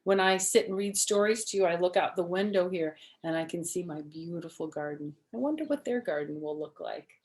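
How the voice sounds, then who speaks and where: A woman's voice: fairly low pitch, brisk, few pauses, darkish tone. A woman reading a children's story aloud expressively, with chatty asides, questions and simple explanations for young listeners.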